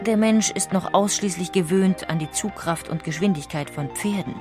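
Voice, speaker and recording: A woman with a fairly low voice delivers scripted documentary narration. She sounds measured and factual, and music accompanies the recording.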